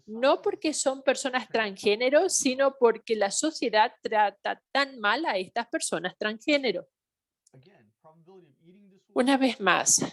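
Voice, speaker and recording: A woman simultaneously interpreting a health training webinar, speaking in halting phrases with pauses and self-corrections. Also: mid-range voice. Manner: halting delivery with pauses and restarts.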